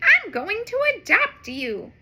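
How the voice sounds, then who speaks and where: A woman playing a kind young superheroine, storybook, high voice, animated. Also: slow, noisy background, full character voice. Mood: gushing.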